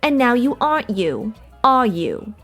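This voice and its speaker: A woman plays a naive, kindly nightclub dancer from an old noir radio comedy. Her voice is on the high side and lilts widely, earnest and a little dim, over faint music. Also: very animated, measured pace, a bit bright, heavily acted. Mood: puzzled. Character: sweet, naive, slightly dim nightclub showgirl.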